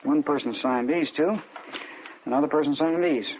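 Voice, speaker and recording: An actor playing an easygoing police handwriting analyst, casual but businesslike, in an old radio drama. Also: male voice, fairly high pitch, normal speed, natural voice. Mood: easy, businesslike. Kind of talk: naturalistic 1950s radio drama acting. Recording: muffled old radio recording, faint hiss.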